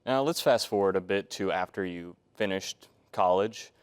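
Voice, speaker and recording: A young man with a low voice hosts an interview. He asks formal, prepared questions earnestly, with a slightly nervous edge. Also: moderate pace, smooth. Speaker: host, student interviewer.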